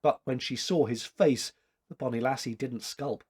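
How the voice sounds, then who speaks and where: Husky middle-aged man reading a story. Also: medium pitch, moderate pace, a little mellow. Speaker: story reader. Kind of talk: expressive literary story narration. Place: small domestic room indoors.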